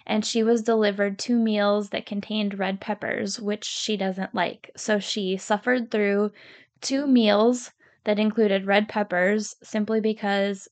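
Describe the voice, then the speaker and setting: A woman in her thirties hosting a podcast, tired and a little scratchy, talking sincerely and encouragingly straight to her listeners. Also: medium pitch. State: tired from lack of sleep. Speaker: podcast host. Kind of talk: podcast monologue.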